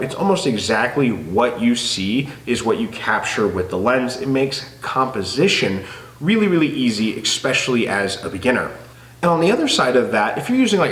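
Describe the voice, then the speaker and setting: A man's voice: mid-range voice, fairly bright tone, reverberant, rough recording. A man with a nasal, stuffed-up voice from a head cold, chatting enthusiastically to camera about photography gear. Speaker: photography YouTuber. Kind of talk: casual gear talk to camera.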